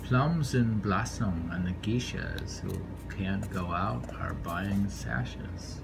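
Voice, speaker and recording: A man reading poetry informally, unhurried and rambling, repeating lines and adding offhand commentary. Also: deep voice, vocal fry, music in the background. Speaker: poetry reader. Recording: noisy room ambience, amateur home recording.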